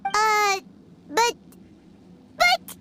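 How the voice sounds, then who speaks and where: A woman voicing a tiny, excitable, childlike flying fairy companion in a video game, with a squeaky voice. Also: very slow, heavy background noise, heavily acted. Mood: hesitant. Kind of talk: video game character acting. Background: music.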